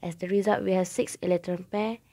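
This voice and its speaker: A woman's voice: mid-range voice, fairly even intonation, brisk, vocal fry, heavy background noise. A young woman, a student presenter, carefully reading out a scripted step-by-step tutorial. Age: young adult.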